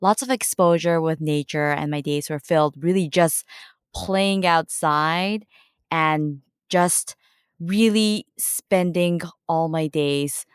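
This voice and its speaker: A woman from Japan who speaks calmly and thoughtfully as an interview guest. Her voice is very low for a woman and smooth and clear. The recording is close and dry. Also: slow pace, no echo. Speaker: social enterprise founder, guest. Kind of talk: interview answers.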